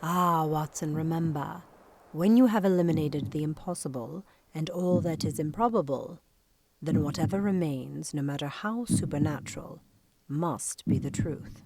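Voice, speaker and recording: An actress playing a composed, authoritative Victorian occult detective in a radio drama, measured, assured and instructive. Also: female voice, deep voice, background noise. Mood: analytical.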